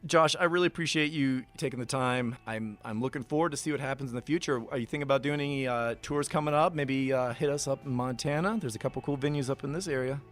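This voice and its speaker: A man's voice: medium pitch, quick pace, hardly any pauses, a bit bright, faint music behind. An American man hosting a casual radio interview, asking questions in an informal, tentative way.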